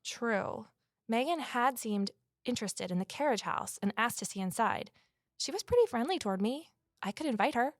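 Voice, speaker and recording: An actress playing an earnest woman amateur investigator in an audio drama, talking in a gentle, sincere, conversational way. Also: on the high side, lively intonation, moderate pace, dry recording, studio quality, natural voice. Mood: matter-of-fact, confiding. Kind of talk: audio drama dialogue and first-person narration.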